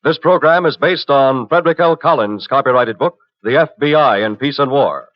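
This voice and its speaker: A man's voice: medium pitch, moderate pace, no echo. A man reading scripted closing credits as an old-time radio announcer. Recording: muffled old radio broadcast recording. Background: faint music.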